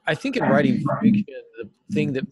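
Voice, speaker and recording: A man's voice: fairly high pitch, sing-song, fairly quick, husky, dry recording. A middle-aged man speaking as an interview guest, explaining things casually like a teacher. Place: classroom, indoors. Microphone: computer mic on a video call.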